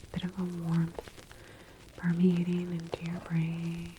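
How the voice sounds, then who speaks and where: A woman whispering softly and breathily in a gentle, reassuring ASMR clinic roleplay. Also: lowish voice, monotone, unhurried, background noise. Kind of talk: ASMR roleplay, soothing patient-facing talk.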